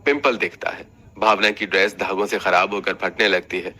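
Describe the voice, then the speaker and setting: Forceful low-voiced man narrating a story. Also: fairly even intonation, normal speed. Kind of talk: scripted Hindi cartoon story narration.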